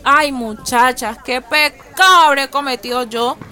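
A woman's voice: sits high, measured pace, sharp tone. An actress with a Colombian accent playing a feisty poor mother and community leader in a radio drama, emphatic and exclamatory. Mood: worried, resilient.

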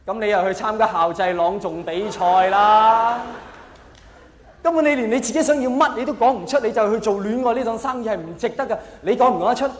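A man's voice: high voice, brisk. A man with a Hong Kong Cantonese accent performing live stand-up, theatrical and full of rhetorical questions for the audience. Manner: theatrical, acts out quoted characters. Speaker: stand-up comedian. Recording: echoey hall, long reverb, faint noise.

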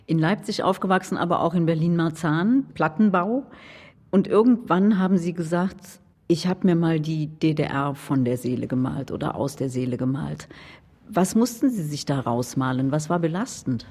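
Woman doing an interview, lowish mellow voice, short probing questions. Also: expressive, normal speed, husky.

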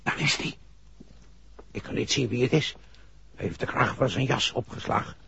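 Krakende, gezaghebbende politiechef in hoorspel. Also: male voice, mid-range voice, moderate pace, gravelly, pushed and bright. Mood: terse, watchful. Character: authoritative senior police chief, terse and commanding. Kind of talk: 1960s Dutch radio drama acting. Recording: dull, noisy vintage radio drama recording.

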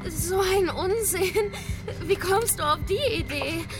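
A very high, girlish-mannered young streetwalker in a radio drama, naive and mouthy, quick to get upset. Loud music plays behind her. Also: lively intonation, full character voice. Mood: defiant. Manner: mouthy, childlike, easily upset. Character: volatile, naive, fame-hungry young female streetwalker and killer. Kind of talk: German radio drama acting.

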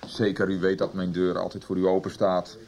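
An actor playing a pompous, stern school director in a radio drama, speaking formally. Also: male voice, sits low, completely flat delivery, fairly quick, darkish tone. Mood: formal, affable. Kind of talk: 1990s Dutch radio drama acting.

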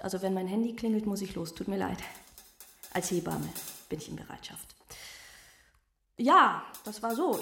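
A woman's voice: mid-range voice, echoey room, natural voice. An actress playing an apologetic midwife in her forties, rambling and hesitant as she justifies herself in a radio drama. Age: 40s. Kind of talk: naturalistic radio drama testimony.

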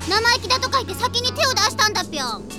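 A woman's voice: extremely high pitch, big pitch swings, rapid-fire, over loud music, exaggerated voice. A woman voicing a candid, stubborn teenage idol trainee in an anime-like audio drama, cutesy but blunt. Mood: pouty, playful. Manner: cutesy, blunt, childlike verbal tic.

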